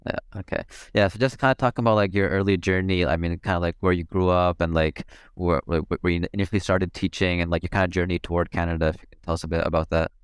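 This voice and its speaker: Fast-talking deep young man. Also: fairly even intonation, smooth, no room sound, clean studio sound. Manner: loose, hedging with "kinda" and "like". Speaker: interviewer. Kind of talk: casual interview questions.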